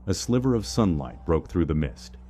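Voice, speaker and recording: A man narrating a scripted first-person horror story in a measured, suspenseful manner. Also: deep voice, very animated, mellow.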